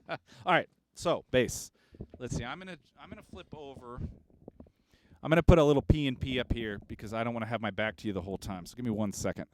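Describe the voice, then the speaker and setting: A man hosting a casual livestream from his recording studio, talking in a relaxed, rambling way. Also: mid-range voice, brisk, slight background noise. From Kansas. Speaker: studio owner and livestream host.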